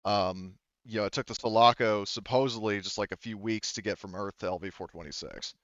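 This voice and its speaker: Male game master, smooth voice, halting explanations, thinking aloud, casual, slightly dull close recording. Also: lowish voice, fairly even intonation, fairly bright tone, no echo.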